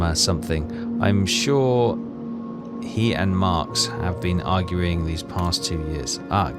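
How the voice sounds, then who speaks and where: A man with a low voice narrates his way through a game in a casual, halting way. He mutters his thoughts and reads passages aloud. Loud music plays behind him. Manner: muttering, thinking aloud, halting while reading. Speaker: video game walkthrough player. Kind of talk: casual game commentary mixed with reading in-game text aloud.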